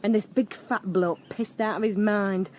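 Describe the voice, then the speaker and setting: A woman's voice: mid-range voice, fairly even intonation, normal speed, slight background noise, close to an everyday voice. An actress playing a brash, offhand young working-class woman in a radio crime drama. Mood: offhand, chatty. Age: young adult.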